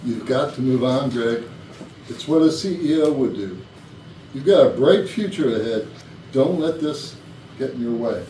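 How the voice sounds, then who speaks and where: An actor playing a self-important, demanding company boss in a comedy play reading, with an authoritative speechifying manner. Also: male voice, medium pitch, expressive, moderate pace, warm tone, close to an everyday voice. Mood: stern. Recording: distant, echoey room with faint noise.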